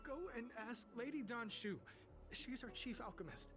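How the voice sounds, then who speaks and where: A woman's voice: on the high side, moderate pace, lightly acted. A woman voicing an earnest, easily flustered alchemist in a video game, with music behind. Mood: helpful, admiring.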